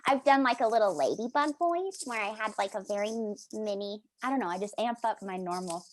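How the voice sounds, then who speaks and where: A woman's voice: medium pitch, expressive, brisk. A woman with a distinctive, youthful, almost childlike voice, chatting casually as an actress on a panel. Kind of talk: casual chat.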